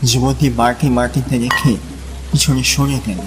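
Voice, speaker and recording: A man narrating a literary story in a measured, dramatic style. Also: mid-range voice, level tone. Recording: long reverb, music and rain behind.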